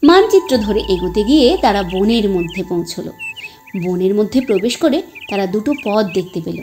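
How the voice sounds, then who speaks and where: A woman storyteller narrating a moral tale in an expressive, scripted reading style. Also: mid-range voice, moderate pace, slight background noise.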